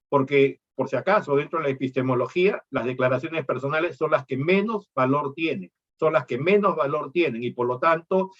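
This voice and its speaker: A man's voice: fairly high pitch, dull audio. A middle-aged man from Peru, lecturing on law and explaining things with rhetorical questions.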